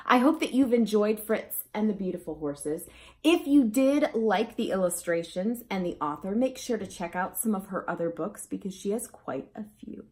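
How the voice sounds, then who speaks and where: A woman reads a children's story aloud. Her pitch moves in an animated, expressive way as she addresses young listeners. The sound is echoey, as from a room. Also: moderate pace. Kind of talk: expressive children's picture-book read-aloud. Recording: roomy, echoey indoor sound.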